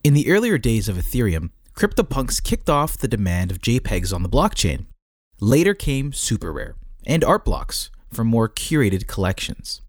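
A man's voice: mid-range voice, sing-song, normal speed, dry recording, studio quality. A man reading a scripted article aloud as a narrator, with a tongue-in-cheek manner.

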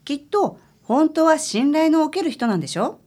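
Actress as polite worried lady client, high voice, lively intonation, radio play. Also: normal speed. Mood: relieved, puzzled. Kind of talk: radio drama dialogue.

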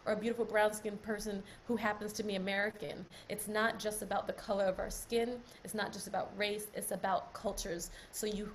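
A woman's voice: medium pitch, a little hiss or hum. A woman speaking earnestly on a group call, repeating phrases for emphasis. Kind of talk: heartfelt closing remarks on a call.

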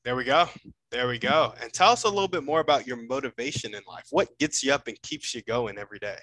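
A man's voice: mid-range voice, fast talker, pushed and bright, dry recording, low-quality recording. A man hosting a podcast in a casual, informal and encouraging interview style.

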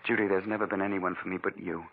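A man's voice: sits low, little pitch movement, very fast, gravelly. An actor playing a troubled, earnest man in a 1940s radio drama, heard on a muffled, noisy old recording. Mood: earnest, insistent.

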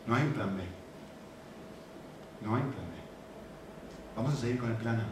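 A man with a Rioplatense Spanish accent preaching a sermon, animated and repetitive, often checking in with questions to the congregation. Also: medium pitch, normal speed, noisy background. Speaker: pastor. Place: church. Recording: very echoey hall, mic at a distance.

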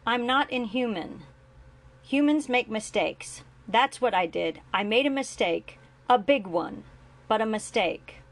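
A woman's voice: a little high, lively intonation, unhurried, pitched above the performer's own voice. A guilt-ridden older father, voiced by a woman narrating, pleading and earnest. He keeps a self-assured steadiness under the remorse, growing firm and impatient when pushed. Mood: insistent.

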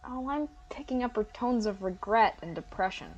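A young woman playing a bewildered, polite but sceptical new student in a comedic audio drama, speaking hesitantly with a deadpan edge. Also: fairly high pitch, moderate pace, muffled recording. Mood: wary, deadpan.

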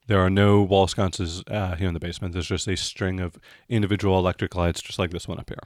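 A man narrating as game master of a tabletop role-playing game, describing things in a halting, improvised way. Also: deep voice, flat delivery, no echo, clean studio sound.

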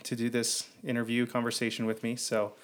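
A man's voice: medium pitch, completely flat delivery, a little fast. A man hosting a podcast, rambling with many fillers as he introduces his first guest. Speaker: podcast host. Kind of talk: casual interview conversation.

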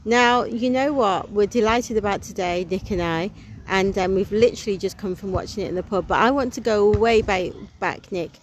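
A woman's voice: mid-range voice, moderate pace, slight background noise, rough recording. A woman hosting a casual podcast, chatty and enthusiastic. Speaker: podcast host.

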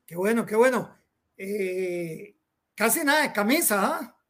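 Male TV host, halting delivery, friendly interview questions. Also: sits high, lively intonation, fairly bright tone. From Costa Rica. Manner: halting, searches for words. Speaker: show host, producer. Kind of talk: TV talk show hosting.

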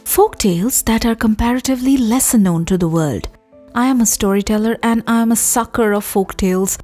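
Softly mellow, breathy Indian woman podcaster. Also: medium pitch. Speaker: podcast host and storyteller. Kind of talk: podcast narration, addressing listeners.

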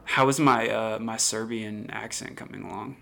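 Excitable young man on a podcast. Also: on the low side, moderate pace, gravelly. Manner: excitable, slangy, laughs often. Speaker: podcast co-host. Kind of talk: casual podcast chat.

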